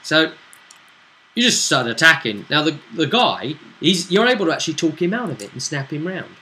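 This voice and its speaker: A man chatting casually as game master, recapping a tabletop role-play session in a halting, storytelling way with pauses. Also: fairly high pitch, big pitch swings, pushed and bright.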